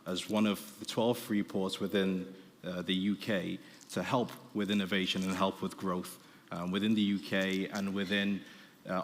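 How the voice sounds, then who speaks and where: A man from Liverpool with a Liverpool accent, giving a brisk business presentation with slides to a conference panel audience. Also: on the low side. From Liverpool, England. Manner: brisk, hurrying through slides. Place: large conference hall. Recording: distant hall reverb with faint noise.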